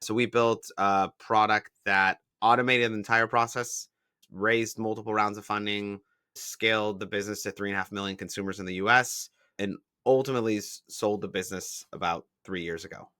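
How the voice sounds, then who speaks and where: A man from Israel and the Bay Area tells his startup story in a relaxed interview, leaning on "like" as he explains. His voice is low and bright, with a forceful edge. The recording is dry and close. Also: brisk, smooth, no echo. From Israel and the San Francisco Bay Area. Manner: explains things with examples, frequent "like" fillers. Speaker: startup founder, guest.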